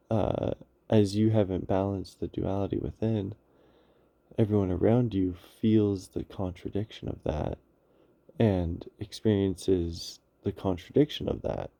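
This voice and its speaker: A man giving an unscripted, reflective monologue on inner life, halting and searching for his words as he goes. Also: deep voice, vocal fry, warm tone, no echo. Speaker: speaker on spirituality and psychology.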